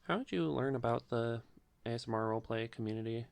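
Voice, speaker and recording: A man's voice: medium pitch, fast talker, vocal fry, clean tone, no room sound. A man hosting a casual podcast interview, politely asking questions and encouraging his guest.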